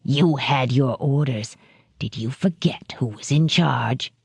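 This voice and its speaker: A refined, sadistic crime boss with a low, hushed voice that sinks well below the reader's own, as a woman narrator puts him on. He speaks with soft, clipped menace in a dry, close audiobook recording. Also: slow, creaky, breathy edge, dry recording, heavily acted. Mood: cold menace.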